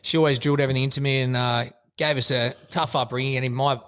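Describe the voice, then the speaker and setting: A man's voice: mid-range voice, fairly even intonation, fast talker, bright, forceful tone, smooth, in a large hall. A young Australian man with a laid-back manner, chatting as an interview guest and often saying "mate". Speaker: rugby league player guest. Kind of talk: casual interview chat.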